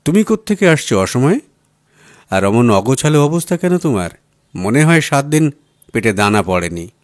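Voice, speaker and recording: A man puts on a wealthy male office boss for an audiobook, self-assured and casually condescending. His pitch is lively and varied, recorded dry and close. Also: moderate pace, no room sound, natural voice. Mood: surprised, brisk. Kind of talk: audiobook character dialogue.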